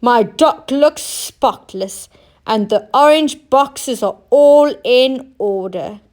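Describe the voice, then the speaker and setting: Woman as a kindly, unhurried storybook dock boss. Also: a little high, a little mellow. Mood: pleased. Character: kindly male dock boss, trusting and encouraging. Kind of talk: children's storybook character voice.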